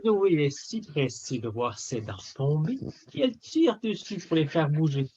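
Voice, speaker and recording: A man reading a children's story aloud in an expressive, theatrical way with emphatic phrasing. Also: fairly high pitch, measured pace, husky, muffled recording. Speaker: storybook reader.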